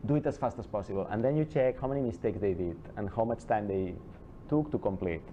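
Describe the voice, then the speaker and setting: A man giving an informal classroom lecture, chatty and digressive, with many halting restarts and asides. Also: fairly low pitch, lively intonation, warm tone, a little hiss or hum. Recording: dull lo-fi classroom recording.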